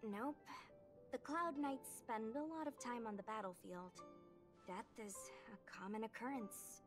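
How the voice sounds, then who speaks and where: A woman acts out a young warrior girl in a game, curious and plain-spoken. Her voice sits fairly high and her delivery is casual and unschooled. Background music plays under her lines. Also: a little slow, lightly acted. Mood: earnest explaining. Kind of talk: video game character dialogue.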